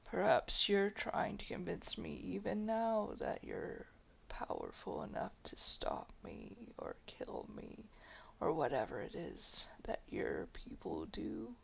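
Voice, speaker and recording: A woman voicing a haughty, menacing old vampire in a roleplay audio drama, breathy and gravelly, drawing out words with soft condescension. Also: medium pitch, huge pitch swings, full character voice. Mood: taunting, weary. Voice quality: breathy whisper with gravelly creak. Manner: slow, drawn-out, condescending, softly threatening. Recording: dull, lo-fi home microphone.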